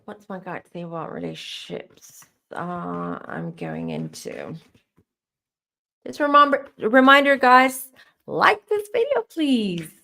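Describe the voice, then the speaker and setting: A woman hosting a live-stream tarot Q&A, her voice starting to go hoarse, who rambles as she gives advice straight to her viewers. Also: medium pitch, huge pitch swings, slow pace, bright, forceful tone. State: voice going hoarse. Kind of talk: live-stream spiritual Q&A, answering chat questions.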